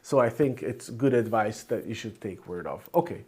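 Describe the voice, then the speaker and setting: A man's voice: lowish voice, animated, moderate pace, darkish tone. A man whose first language is Hungarian gives an informal screencast programming tutorial, thinking aloud as he types. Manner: thinks aloud while typing. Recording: clear background noise, keyboard clicks. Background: computer keyboard typing and mouse clicks.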